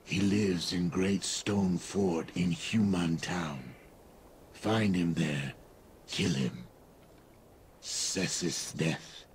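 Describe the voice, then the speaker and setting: An actor playing a grave, primal lizard-man of the marsh in a video game, speaking solemnly in halting broken English with breathy pauses. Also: sits low, slight background noise. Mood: menacing, urging. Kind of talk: video game character dialogue. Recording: game dialogue over music. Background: music.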